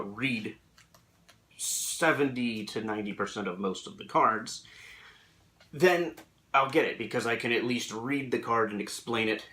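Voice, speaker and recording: A man's voice: lowish voice, pushed and bright. A young man in a room at home, talking thoughtfully about collecting cards while he sleeves them, rambling a little with plenty of fillers. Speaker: Magic: The Gathering YouTuber. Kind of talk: casual reflective monologue.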